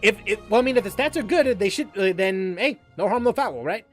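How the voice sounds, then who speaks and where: A man hosting a casual gaming livestream, chatting in a halting way and often repeating and restarting his phrases. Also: high voice, animated, soft background music. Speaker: livestream host, gamer.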